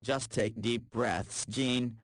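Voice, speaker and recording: A man's voice: medium pitch, fairly even intonation, slow pace, dry recording. A man voicing a nervous teenage boy in a visual novel, muttering anxiously to himself. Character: nervous teenage boy dreading his mother.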